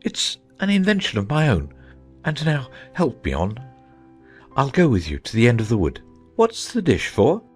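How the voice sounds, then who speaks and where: Man voicing a eccentric old knight, storybook character, fussy halting delivery, very animated swooping pitch, music behind. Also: slightly rough. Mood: earnest, fussy. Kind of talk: dramatized audiobook character acting.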